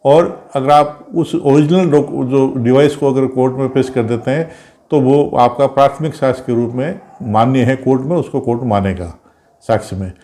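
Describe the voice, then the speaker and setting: A man's voice: medium pitch, moderate pace, warm tone. A man giving an informal explanatory lecture as a law teacher, didactic and repetitive, posing questions and answering them.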